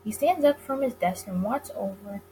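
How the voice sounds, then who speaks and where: A woman reading a visual novel aloud as dramatic narration for a gaming video, with expressive delivery. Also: mid-range voice, moderate pace, a little hiss or hum.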